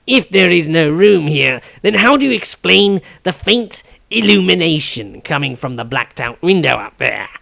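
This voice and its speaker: A man's voice: on the high side, very animated, normal speed, creaky, few pauses, pitched above the performer's own voice, heavily acted. A man reading a story aloud, voicing a smug, arrogant male halfling thief who talks sharply. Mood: snappish. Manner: sharp, snappish.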